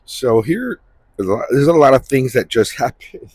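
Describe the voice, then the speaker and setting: A man hosting a Bible-reading series, giving informal commentary in a halting, repetitive way and often checking for agreement. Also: mid-range voice, sing-song, darkish tone, slightly rough, no echo.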